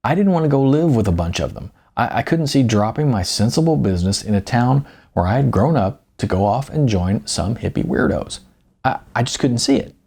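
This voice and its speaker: A man's voice: mid-range voice, expressive, a little fast, creaky, darkish tone, breathy edge. A man voicing a folksy, wise older small-town businessman in audiobook dialogue, stubborn but tolerant, repeating himself hesitantly. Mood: wry, insistent. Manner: folksy, hesitant repetitions, ain't.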